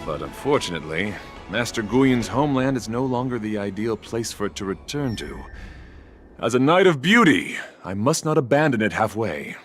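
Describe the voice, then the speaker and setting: Lively, courtly knight voiced by a man. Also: lowish voice, moderate pace, faint music behind, natural voice. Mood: impassioned, sorrowful. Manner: courtly, flowery, formal diction. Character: chivalrous, earnest young male knight devoted to beauty. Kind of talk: theatrical video game voice acting.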